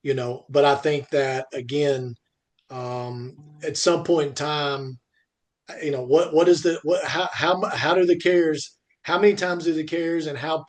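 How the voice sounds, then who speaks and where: Male podcast co-host, voice a bit above average, conversational, stop-start and insistent, circles back over key phrases. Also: a little high. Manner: halting, repetitive, emphatic. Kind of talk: conversational industry podcast talk.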